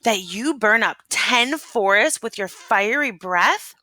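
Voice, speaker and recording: A woman's voice: mid-range voice, lively intonation, slow pace, bright, forceful tone, dry recording. A woman voicing a bold, clever young princess from a storybook, with an exclamatory, dramatic delivery. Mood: coaxing.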